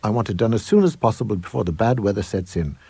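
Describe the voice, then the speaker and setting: A man voicing a brisk, nagging, demanding wife in a ghost story, with a husky voice. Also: on the low side, lively intonation, dark tone, no room sound, full character voice. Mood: insistent, sharp.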